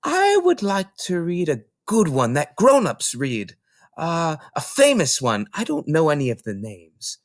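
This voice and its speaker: A man's voice: a little high, wildly swooping pitch, a little slow, dry recording, clean studio sound, pitched above the performer's own voice, heavily acted. A man voicing a polite, earnest and precocious four-year-old girl who loves books. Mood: polite, eager.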